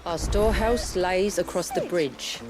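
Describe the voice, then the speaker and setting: An actress playing a steadfast village elder and guide in a video game, speaking in formal, measured, archaic phrases. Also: female voice, on the low side, music in the background, close to an everyday voice. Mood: composed, courteous. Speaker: village elder guiding travelers. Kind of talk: video game cutscene acting.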